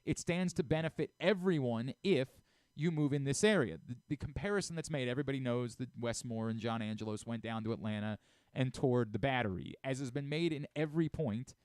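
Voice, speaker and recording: A man's voice: mid-range voice, dry recording. A man hosting a sports radio talk show from a studio, giving emphatic commentary and repeating points for stress.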